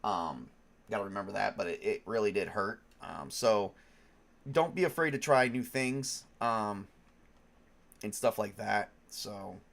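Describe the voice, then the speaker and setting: A man's voice: lowish voice, measured pace, vocal fry, fairly bright tone. Stuffy-nosed man rambling casually. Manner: rambling, lots of ums and restarts. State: stuffed up from a cold. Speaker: tabletop game master and streamer. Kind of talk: casual live-stream chat.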